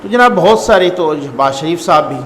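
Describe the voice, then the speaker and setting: Pakistani guy doing chatty news commentary, pretty high voice, reverby room w a bit of noise. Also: expressive, a little fast, fairly bright tone. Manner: conversational, repeats phrases for emphasis. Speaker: host and political news commentator. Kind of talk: informal news commentary to viewers. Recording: echoey reverberant room, faint background noise.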